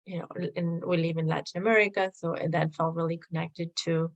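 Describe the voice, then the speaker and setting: A woman from Argentina with an Argentinian Spanish accent, a native Spanish speaker, answering a practice interview question hesitantly with many pauses. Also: on the low side, little pitch movement, lo-fi sound. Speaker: workshop participant.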